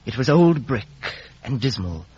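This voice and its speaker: An actor playing a grown man soberly recalling his boyhood in scripted radio narration, with a husky voice. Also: medium pitch, big pitch swings, unhurried, crowd noise. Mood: sober, reflective. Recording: dull vintage radio broadcast.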